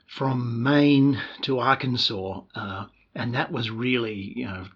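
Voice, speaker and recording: Husky aussie guy rambling thru stories on a podcast, lots of uh and restarts, kinda dull lo-fi sound. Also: medium pitch, takes it slowly. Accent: Australian. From Australia. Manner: storytelling, with frequent restarts and fillers. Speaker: guest, actor and film co-director. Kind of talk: relaxed podcast conversation.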